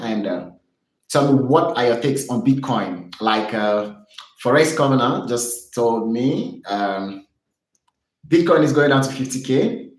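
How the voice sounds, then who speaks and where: A man's voice: mid-range voice, roomy sound, rough recording. A young man from Cameroon streaming live, chatting with viewers and explaining charts in an upbeat, repetitive way. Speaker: trading streamer.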